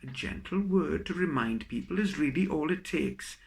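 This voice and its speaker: Man performing comic verse, very animated pitch. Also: moderate pace, vocal fry. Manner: theatrical, expressive storytelling. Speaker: poet reading own work. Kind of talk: dramatic comic poetry recital.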